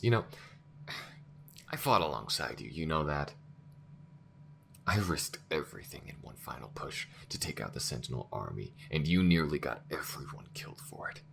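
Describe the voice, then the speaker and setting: Casting: male actor as a bitter alien crewmate for sci-fi audio drama, extremely low register, expressive swings, blunt delivery. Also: creaky, a little hiss or hum, full character voice. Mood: bitter, betrayed. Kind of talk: ASMR-style scripted sci-fi roleplay acting.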